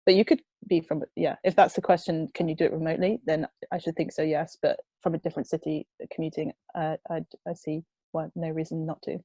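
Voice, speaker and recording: A woman's voice: low voice, level tone, brisk, warm tone, breathy edge, no room sound. A young woman answering questions for her food waste group on a video call, chatty and hesitant, laughing now and then. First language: not Dutch. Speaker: presenter of a food waste charity. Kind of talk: online Q&A answering.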